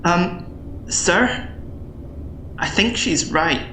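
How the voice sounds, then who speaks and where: A timid junior security officer in a sci-fi audio drama. She speaks slowly and deferentially in an echoey space. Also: lowish voice, sharp tone, a little hiss or hum, exaggerated voice. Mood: hesitant. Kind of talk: science-fiction audio drama acting. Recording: echoey room with faint ambience.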